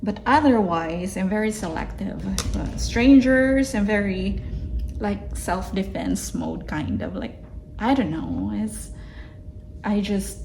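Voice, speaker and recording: A woman's voice: mid-range voice, takes it slowly, gravelly, a little mellow, a little hiss or hum, poor audio. A young adult woman from the Philippines with a Filipino accent, chatting casually in her vlog with many fillers and restarts. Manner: chatty, lots of fillers and restarts, laughs easily. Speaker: vlogger. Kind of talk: casual self-reflective vlog.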